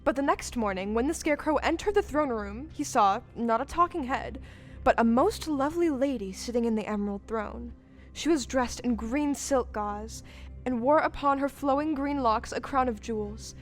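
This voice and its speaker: A woman's voice: sits high, moderate pace, faint music behind. A woman giving scripted storybook narration in an audio play.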